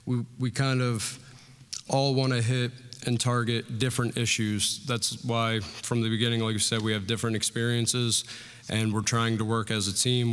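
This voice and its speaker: A man's voice: on the low side, a bit bright, clear, resonant tone. A young man running for school board, giving plainspoken, unpolished debate answers with many fillers. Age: young adult. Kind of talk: town hall debate statement. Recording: reverberant hall.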